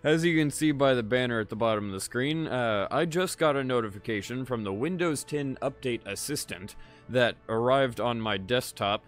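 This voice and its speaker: Tired, slightly raspy male streamer. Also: mid-range voice. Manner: laid-back, swears freely, chats to viewers. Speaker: gaming livestreamer. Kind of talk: casual live gameplay chat.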